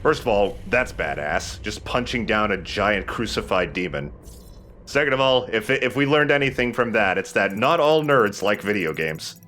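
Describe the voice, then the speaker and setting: A man's voice: lowish voice, lively intonation, moderate pace, sharp tone, slight background noise. A man giving casual, jokey let's play commentary over a video game, chatting and swearing freely. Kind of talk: casual gameplay commentary with banter. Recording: music behind the voice. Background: game music.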